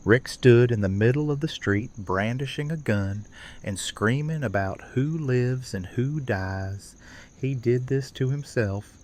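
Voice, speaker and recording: A man playing a careworn, reasonable husband in an audio drama. His mid-pitched voice is serious and measured, with a weary, troubled air. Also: darkish tone, slight background noise, lightly acted. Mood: frustrated.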